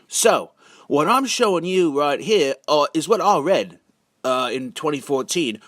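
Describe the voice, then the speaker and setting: Guy rambling commentary real slow, halting, sardonic drawn out words, no echo. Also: medium pitch, fairly bright tone, clean studio sound. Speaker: video narrator and amateur reader. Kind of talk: casual monologue commentary.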